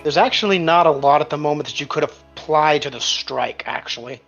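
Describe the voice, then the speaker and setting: Male game master, casual and sweary, fairly high voice, slightly muffled audio with music behind. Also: brisk, creaky, fairly bright tone. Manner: colloquial, profane. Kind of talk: casual tabletop game narration and rules talk. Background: background music.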